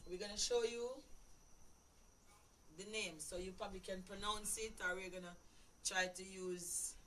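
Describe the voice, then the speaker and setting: A Jamaican woman talks slowly and easily while she cooks on a live stream. She is chatty and often laughs at herself. She sits well back from the mic, with loud frying noise behind her outdoors. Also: medium pitch, far from the mic. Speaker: cooking show host. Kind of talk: live cooking stream chat. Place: outdoor backyard kitchen.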